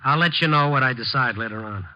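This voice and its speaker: An actor playing a sardonic, hard-boiled newspaper reporter who narrates and wisecracks drily in a 1950s radio drama. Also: male voice, mid-range voice, fast talker, lightly acted. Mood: dry. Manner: dry wisecracking delivery. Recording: muffled old 1950s radio recording.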